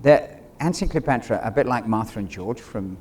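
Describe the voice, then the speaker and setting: A man's voice: lowish voice, lively intonation, fairly quick, a little mellow. A middle-aged man speaking as a theater director on an informal panel, digressive and acting out imagined quotes. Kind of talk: animated informal panel discussion. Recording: noisy live room ambience.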